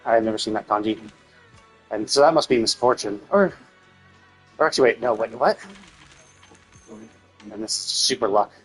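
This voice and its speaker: A man's voice: on the low side, normal speed, pauses often, slightly rough, faint music behind. A man streaming a game live with casual commentary, thinking aloud tentatively and correcting himself as he goes.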